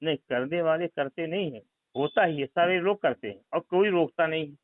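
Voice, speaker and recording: A man's voice: a little high, moderate pace, no room sound. A man on a recorded phone call, arguing about religion in an insistent way and pressing with repeated leading questions. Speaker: caller questioning a religious group member. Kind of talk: phone conversation, religious argument. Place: phone line.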